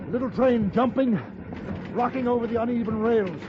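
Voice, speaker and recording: A brave gentleman in an old radio western, narrating and speaking lines. His raised, very high-pitched voice is clipped and resolute, on a dull recording buried in loud noise. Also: normal speed. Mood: tense narration. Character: brave, composed gentleman adventurer narrating his own tale. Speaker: lead actor and narrator.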